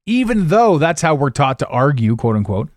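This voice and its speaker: A man hosting a solo podcast, talking intensely and repeating words as his voice swings between loud and quiet. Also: fairly high pitch, very animated, moderate pace, no echo, clean studio sound. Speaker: podcast host.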